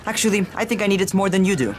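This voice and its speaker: An actor playing a brash, touchy young survivor in a video game cutscene, blunt, sarcastic and scolding. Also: male voice, sits high, lively intonation, racing, faint music behind. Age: young adult.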